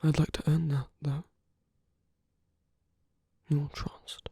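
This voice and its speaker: A man playing a suave, flirtatious villain in an ASMR roleplay, speaking softly and intimately in a breathy half-whisper with a teasing, coaxing manner. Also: fairly high pitch, flat delivery, slow, dry recording, clean studio sound, exaggerated voice. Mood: earnest, tender. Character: suave flirtatious male villain wooing a hero at a masquerade.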